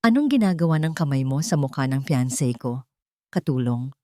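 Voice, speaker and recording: A woman's voice: rumbling low, big pitch swings, moderate pace, darkish tone, dry recording, studio quality. A woman voicing a cold, commanding, jealous rich fiancée in an audiobook romance drama, firm and condescending. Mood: icy anger.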